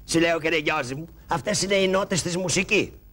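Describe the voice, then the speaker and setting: A man's voice: fairly high pitch, fairly bright tone. A man voicing a fussy, ingratiating sidekick in a shadow-puppet play, who lectures and gives instructions. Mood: lecturing. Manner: prim, lecturing, giving instructions. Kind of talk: Greek shadow-puppet theatre.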